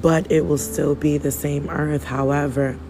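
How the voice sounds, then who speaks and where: Hoarse man, rambling preachy podcaster, darker tone, faint noise behind. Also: on the high side, level tone, unhurried, mellow. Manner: rambling, preachy, casual slang. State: losing his voice. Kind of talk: spiritual monologue.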